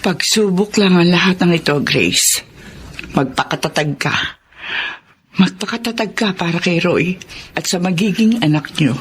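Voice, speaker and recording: A woman's voice: on the low side, moderate pace, breathy edge. A woman playing a stern, caring middle-aged mother in a radio drama, with a Filipino accent. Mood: gentle, consoling.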